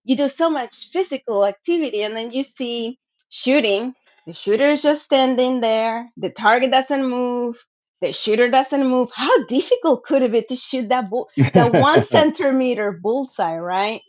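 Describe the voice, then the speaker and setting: A woman's voice: fairly high pitch, normal speed. A woman, telling her story as a guest in an earnest, reflective way with many fillers, over a video call. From Venezuela. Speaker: guest speaker, sport shooter. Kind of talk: casual personal storytelling. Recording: muffled lo-fi call audio.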